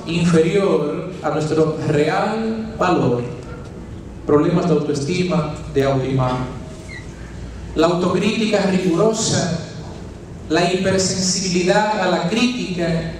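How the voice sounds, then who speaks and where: Dominican friar giving a sermon: high-voiced man, questions and homely sayings, caught from afar in a very reverberant church. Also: darkish tone, slight background noise. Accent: Dominican Spanish. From Dominican Republic. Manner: teaching with rhetorical questions and folksy asides. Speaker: preacher, friar. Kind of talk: sermon at a healing retreat. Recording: very echoey church, distant mic.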